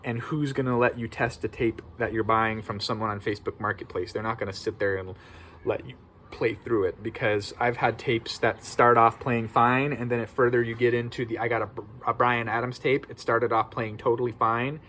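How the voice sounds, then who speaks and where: American man chatting over clear background noise. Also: mid-range voice, fairly even intonation, moderate pace, fairly bright tone. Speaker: video host. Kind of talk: casual informal video talk about collecting. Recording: clearly noisy background ambience.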